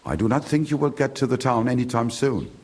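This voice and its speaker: An actor playing a world-weary village trader in a video game, with a German accent, gravelly, husky and grumbling. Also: male voice, medium pitch, lively intonation, a little fast, a little mellow, lightly acted. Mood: matter-of-fact. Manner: grumbling, mixing German phrases in. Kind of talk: video game character acting.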